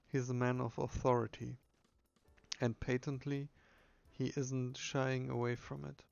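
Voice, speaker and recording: Flat-toned man narrating, slightly muffled recording. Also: mid-range voice, unhurried, gravelly, dry recording. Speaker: solo player reading game text aloud. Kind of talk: scripted narration of a text adventure game.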